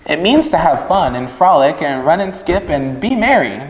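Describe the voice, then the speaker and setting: Man as an earnest young pig, lively and echoey. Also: fairly high pitch, normal speed, pitched above the performer's own voice. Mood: hopeful. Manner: earnest, emphatic. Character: lonely, earnest young pig, naive and pleading. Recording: echoey room with long reverb.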